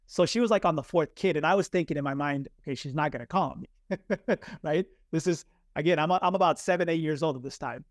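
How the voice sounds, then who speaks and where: A man's voice: fairly high pitch, a little fast, no room sound, studio quality. A man in his forties, born in Chile with Spanish as his first language, telling a personal story as a podcast guest and acting out the voices he quotes. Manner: animated, acts out quoted voices. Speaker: guest, communication coach.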